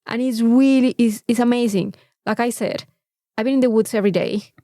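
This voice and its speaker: High-voiced woman chatting casually. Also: a little fast, dark tone, dry recording, studio quality. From Mexico. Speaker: podcast co-host. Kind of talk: casual chat.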